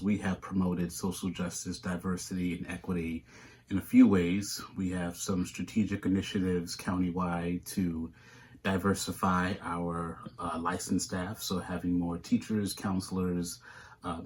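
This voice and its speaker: Very deep, flat-voiced male school psychologist. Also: normal speed. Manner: steady, formal and matter-of-fact. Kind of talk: recorded interview answer.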